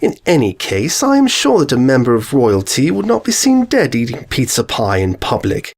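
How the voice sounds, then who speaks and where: Haughty high-society mare pony, posh and scolding, as a man puts her on; lilting, raised pitch. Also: wildly swooping pitch, moderate pace, gravelly, a little mellow, husky, full character voice.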